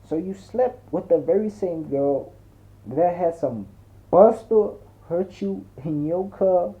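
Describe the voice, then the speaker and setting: A man's voice: high-pitched, slow pace, dark tone, pitched above the performer's own voice. A man voicing a brash, boastful male friend in a slangy, blunt audiobook dialogue. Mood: blunt, warning. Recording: muffled, narrow phone-like sound.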